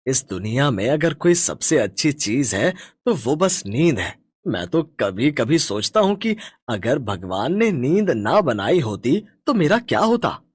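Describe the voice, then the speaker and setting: Man acting a husky, swooping lazy young farmer. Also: mid-range voice, sing-song, a little slow, no room sound, studio quality. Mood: complacent. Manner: complacent, coaxing, swooping intonation. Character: lazy, sleep-loving young village farmer husband. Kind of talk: animated moral story dialogue.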